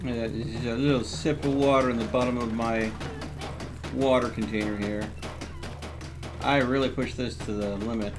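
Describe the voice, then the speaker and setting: A man's voice: mid-range voice, fairly even intonation, moderate pace, long pauses. Man casually commentating his game over music. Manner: talks to himself and chats to viewers. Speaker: video game streamer.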